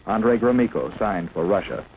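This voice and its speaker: A man's voice: fairly low pitch, expressive, normal speed. An American man reading a scripted 1950s propaganda film narration in a solemn, emphatic, declamatory manner. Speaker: documentary narrator. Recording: muffled, noisy 1950s film soundtrack.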